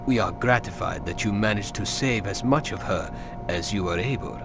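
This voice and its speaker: Corporate executive: courteous, chilly formality for a game cutscene, lower register, music underneath. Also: male voice, creaky. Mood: formal satisfaction. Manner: formal, measured, polite. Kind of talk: video game cutscene acting.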